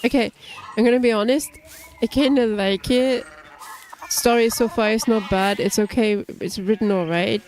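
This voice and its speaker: A woman streaming a game, talking casually and teasing herself with frequent laughs. She speaks slowly in a slightly high voice. Faint noise sits in the background. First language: not German. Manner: chatty, self-mocking, frequent laughs.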